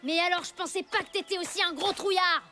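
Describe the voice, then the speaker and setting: An actor playing a plucky, excitable and loyal young boy in an animated cartoon, earnest and given to exclaiming. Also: extremely high pitch, fast. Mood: earnest, reproachful. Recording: loud cartoon music behind the voice. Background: cartoon music.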